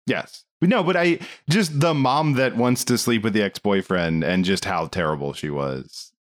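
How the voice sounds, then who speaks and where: A man talking casually as a podcast host, rambling along in an animated, amused way. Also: lowish voice, normal speed. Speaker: film discussion podcast host. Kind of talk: casual movie review chat.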